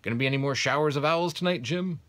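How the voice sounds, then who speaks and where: A man's voice: a little high, fast, fairly bright tone, voice pushed up, lightly acted. A man doing a chipper TV newscaster. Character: male TV newscaster, smooth and chipper.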